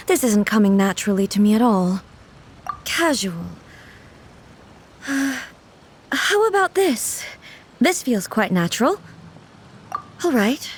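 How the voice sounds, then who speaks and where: A woman's voice: on the high side, sing-song, breathy edge, full character voice. A woman playing a dignified, earnest ruler in a video game, formal and naive about everyday things. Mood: self-conscious. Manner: formal, measured, puzzled, deliberate.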